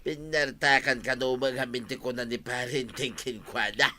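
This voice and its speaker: A man's voice: medium pitch, fairly even intonation, moderate pace, sharp tone, a little hiss or hum. An actor playing a mocking, mischievous village man with a Filipino Ilocano accent, drawling and amused, in an audio drama.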